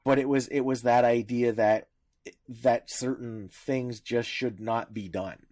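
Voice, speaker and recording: Male podcast co-host, mid-pitched, conversational, halting delivery that keeps circling back to rephrase. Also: fairly even intonation, darkish tone. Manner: halting, searching for words, rephrasing often. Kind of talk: casual discussion.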